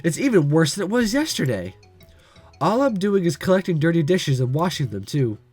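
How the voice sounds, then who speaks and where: A man reading a visual novel aloud, lively, clearing his throat now and then. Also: a little high, normal speed, faint music behind. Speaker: let's-play reader.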